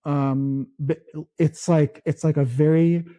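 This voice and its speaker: A man from the Philippines, his first language Tagalog, co-hosting a podcast in casual chat, talking slowly and halting with many restarts. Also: fairly high pitch, little pitch movement, mellow, dry recording. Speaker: podcast co-host.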